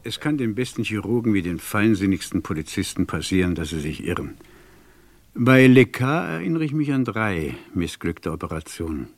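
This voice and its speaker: Husky low-voiced doctor, swooping intonation. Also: male voice, no echo, heavily acted. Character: opinionated male hospital doctor recalling failed operations. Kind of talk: German crime radio play acting.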